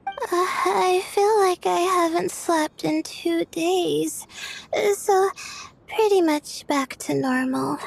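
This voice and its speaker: A woman voicing a sleepy, apologetic young scholar in a video game, speaking hesitantly and softly. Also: extremely high pitch, takes it slowly, creaky, exaggerated voice, poor audio. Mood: drowsy, apologetic. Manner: hesitant, soft-spoken, full of "uh"s.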